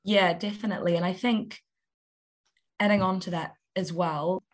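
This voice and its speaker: Flat-toned young New woman. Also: on the low side, normal speed. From New Zealand. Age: young adult. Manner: articulate, steady, with fillers. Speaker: youth climate activist. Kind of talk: interview answers.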